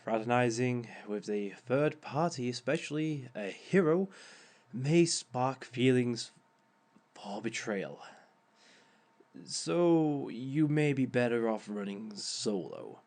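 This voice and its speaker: A man's voice: mid-range voice, lively intonation, takes it slowly, darkish tone. A man voicing a sly, theatrical male villain who lectures on dating in an audio roleplay, with chuckling asides. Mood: smug. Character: smug male villain giving a dating seminar.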